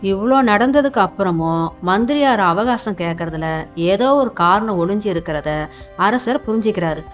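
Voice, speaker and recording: A woman's voice: medium pitch, animated, normal speed, soft background music. Woman narrating a cartoon folktale. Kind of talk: storytelling narration.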